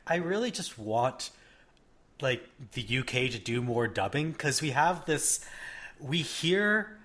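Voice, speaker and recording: A man with a mild Canadian accent chatting thoughtfully on a podcast, often trailing off softly. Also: mid-range voice, very animated, slow pace. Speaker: podcast panelist. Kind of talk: casual chat.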